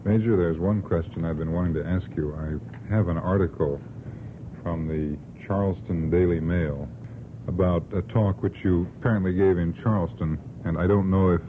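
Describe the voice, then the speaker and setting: Male radio interviewer, very low voice, careful phrasing, faintly noisy old tape. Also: narrow, tinny audio. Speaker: panelist questioning the guest.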